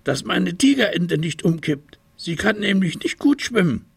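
A man voicing a small, excitable boy tiger in a children's radio story, speaking high and eager. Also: normal speed, gravelly, breathy edge, no echo, full character voice. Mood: excited.

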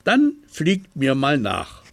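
A man voicing a calm, wise crow in a children's radio story, speaking slowly and knowingly. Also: on the high side, gravelly, pitched above the performer's own voice, exaggerated voice.

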